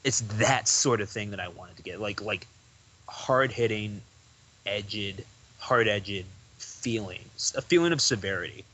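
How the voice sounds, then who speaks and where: A man's voice: on the low side, animated, measured pace, bright, forceful tone, low-quality recording. A young man talking as a guest on a podcast, rambling loosely with lots of "like" and restarts. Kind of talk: casual interview chat.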